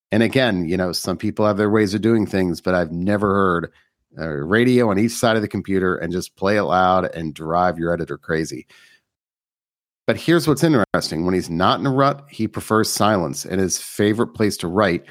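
A man's voice: deep voice, dry recording, clean studio sound. A man hosting an interview show, talking in a conversational, informal and explanatory way. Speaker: podcast host and interviewer.

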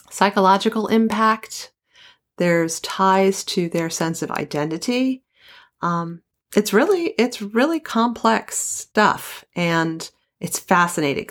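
Low-pitched woman hosting a podcast. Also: a bit bright. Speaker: podcast host. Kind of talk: hosted podcast intro and phone interview.

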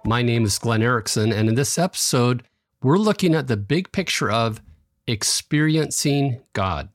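A man with a slightly raspy voice from allergies, hosting a devotional podcast and telling a personal story in a calm, earnest way with light chuckles. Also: medium pitch, moderate pace, dry recording, clean studio sound. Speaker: podcast host.

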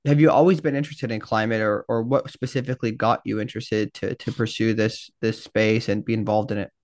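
A man's voice: on the low side, steady tone, brisk, darkish tone, dry recording, studio quality. A man hosting a podcast interview, asking friendly, rambling questions with restarts. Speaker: podcast host.

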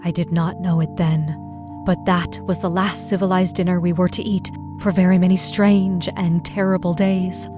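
A woman's voice: on the low side, flat delivery, normal speed, over music, close to an everyday voice. An actress playing an intense woman who narrates surviving a Martian invasion in dramatic audio theatre. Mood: grave.

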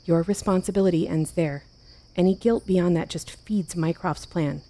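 An actress playing a composed but anxious, caring leader in a fiction podcast, speaking in a measured, earnest way. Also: female voice, on the low side, warm tone, lightly acted. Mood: firm, reassuring. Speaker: leader of a survivors' haven. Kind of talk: naturalistic fiction podcast acting.

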